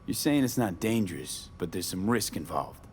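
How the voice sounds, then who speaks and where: V, a wary, low-voiced mercenary. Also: male voice, expressive, moderate pace, a little hiss or hum. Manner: skeptical, clipped questioning. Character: wary, streetwise male cyberpunk mercenary, dryly curt. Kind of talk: video game voice acting, casual dialogue.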